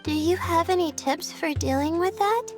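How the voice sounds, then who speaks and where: A woman's voice: very high voice, over music. A woman voicing an anxious, sleepless young girl in a video game, polite and hesitant. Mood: timid. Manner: hesitant, halting.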